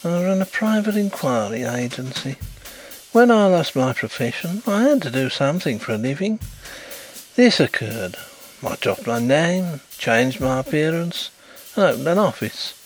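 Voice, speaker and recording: A man voicing an effusive, easily flustered and rather stiff gentleman detective in a storybook reading. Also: on the high side, very animated, moderate pace, faint music behind, pitched above the performer's own voice, low-quality recording. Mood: matter-of-fact. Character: Carlyle, excitable, sympathetic gentleman, proud private inquiry agent.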